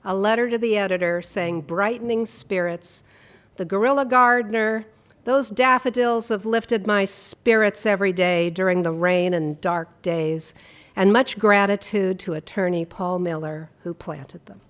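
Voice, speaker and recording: A woman introduces speakers at a community workshop in a church hall, speaking into a microphone. Her voice sits in a middle range, and she reads out a bio with playful, warm asides. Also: medium pitch, fairly even intonation, a little slow. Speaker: panel moderator.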